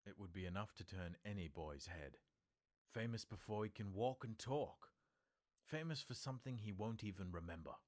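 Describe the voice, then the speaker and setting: Man as a grave old wizard, measured. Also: lowish voice, animated, voice pushed up, close to an everyday voice. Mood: firm, serious. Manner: measured, serious. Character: wise old male wizard, grave, calm and firm.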